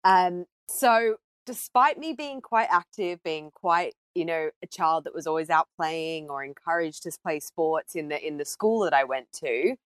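A woman's voice: deep voice, measured pace, few pauses, no echo. A woman from Australia speaking as a podcast guest, telling personal stories in a conversational way.

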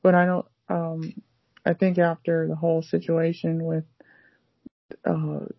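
A woman with a lower voice chats casually, rambling and restarting with plenty of fillers. The recording is dry and muffled. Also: completely flat delivery, unhurried, gravelly, no room sound. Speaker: language learner guest. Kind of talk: casual conversation.

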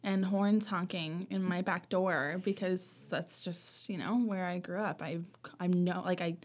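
A woman's voice: fairly low pitch, fairly even intonation, measured pace. A woman from Nova Scotia with a Canadian accent, chatting casually as an interview guest. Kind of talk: casual chat.

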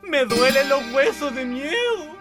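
A woman playing an excitable, fearful housemaid from the south in a radio play, with a Chilean accent and a breathless, deferential, rambling delivery. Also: high-pitched, measured pace, music in the background. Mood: panicked. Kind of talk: Spanish-language radio theatre acting.

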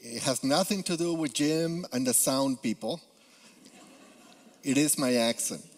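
A man's voice: medium pitch, a little slow. A Peruvian man in his fifties with a Spanish accent, preaching earnestly as a guest speaker with frequent "uh" fillers. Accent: Spanish-accented English. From Peru. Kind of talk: sermon. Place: church sanctuary. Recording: long hall reverb.

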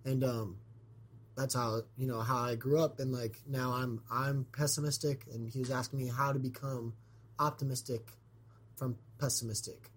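A man hosting a podcast in casual chat, his voice scratchy and a bit hoarse from being sick, talking in a rambling way with many fillers and restarts. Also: mid-range voice, flat delivery, moderate pace, fairly bright tone. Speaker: podcast host.

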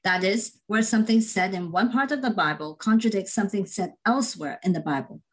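A woman hosting a podcast, calmly reading out prepared introductions and questions. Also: mid-range voice, brisk. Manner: composed, reads out prepared questions. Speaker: podcast host. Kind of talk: scripted podcast interviewing.

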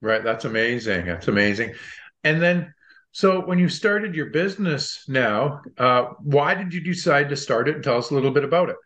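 A man's voice: medium pitch, expressive, moderate pace, fairly bright tone. A man hosting a podcast who asks short, friendly questions. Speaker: podcast host. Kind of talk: casual interview.

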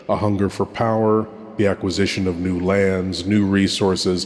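Brief: male horror host, low voice, slow ominous theatrical intro. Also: a little mellow, in a large hall. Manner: slow, ominous, dramatic delivery.

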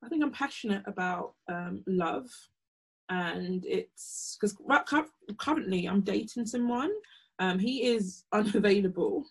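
A young woman with a croaky voice co-hosting a podcast, talking casually with many pauses. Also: mid-range voice. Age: young adult. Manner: relaxed, hesitant, many ums. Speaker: podcast co-host. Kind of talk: casual chat.

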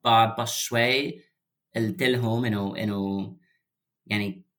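A man's voice: on the low side, fairly even intonation, measured pace, a bit bright. Hesitant man with a Levantine learner accent. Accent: mixed Jordanian and Lebanese Arabic, as a learner. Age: young adult. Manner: hesitant, searching for words, mixes in English. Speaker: podcast host, Arabic learner. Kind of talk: casual chat.

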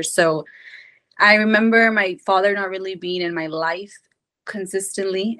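Twenties woman from mexico, guest on a podcast, rambly and earnest, lowish voice. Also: a bit bright. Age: 20s. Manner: earnest, rambling, many fillers. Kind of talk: casual personal storytelling.

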